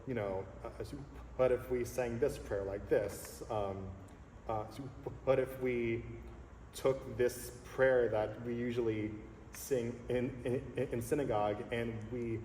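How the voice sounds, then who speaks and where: A man in his thirties, a songleader talking earnestly and reflectively as a guest in a casual interview, pausing often to find his words. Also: medium pitch, steady tone, mellow. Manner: earnest, reflective, halting with fillers. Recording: echoey hall with faint noise.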